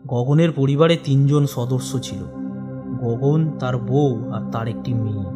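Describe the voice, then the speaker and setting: A man's voice: mid-range voice, measured pace, natural voice. Guy as a middle-aged cartoon village uncle, mid voice, familiar elder telling a story, music behind. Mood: grave storytelling. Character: older village uncle, fish trader, family friend, concerned. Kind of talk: animated horror cartoon dialogue and storytelling.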